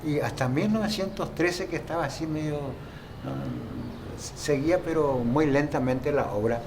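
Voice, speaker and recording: An older man from Paraguay, telling old stories in a rambling, halting way during a casual interview. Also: fairly high pitch, gravelly, background noise. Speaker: local guide and interviewee.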